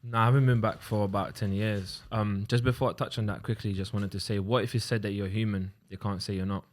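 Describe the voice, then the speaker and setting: A man co-hosting a podcast, making quick offhand remarks in casual chat. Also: lowish voice, fairly even intonation.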